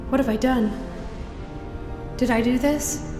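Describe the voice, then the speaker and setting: Distressed young woman stuck in a bad dream, halting and anguished, big echoey reverb, music under it, audio drama. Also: sits high, steady tone. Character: distressed young woman trapped in a sailor's nightmare.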